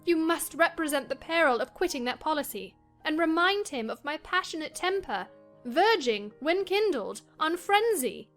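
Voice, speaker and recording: A high, theatrical voice of a passionate young married gentlewoman, self-dramatising and agitated. It is a dramatized period novel, with faint music underneath. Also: animated, moderate pace. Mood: agitated, self-pitying. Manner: theatrical, distressed. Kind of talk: dramatized classic novel reading.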